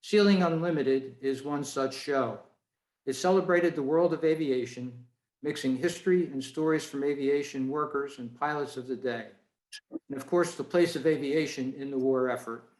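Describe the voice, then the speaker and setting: A man's voice: mid-range voice, normal speed, a little mellow. A man presenting a prepared talk to a club over a video call, in a roomy-sounding space.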